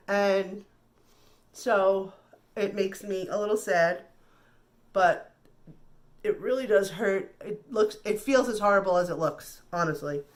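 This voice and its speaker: Slow-talking nasal woman vlogger. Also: mid-range voice. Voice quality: slightly nasal. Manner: chatty and reassuring, repeats words. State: recovering from flu. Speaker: beauty vlogger. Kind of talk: casual makeup tutorial.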